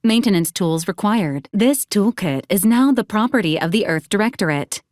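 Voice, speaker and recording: A woman voicing a polite female robot companion in a video game, speaking in a formal, clipped, matter-of-fact manner with deadpan, analytical delivery. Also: mid-range voice, moderate pace, no room sound, studio quality, lightly acted. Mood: dry, deadpan. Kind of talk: scripted video game character lines.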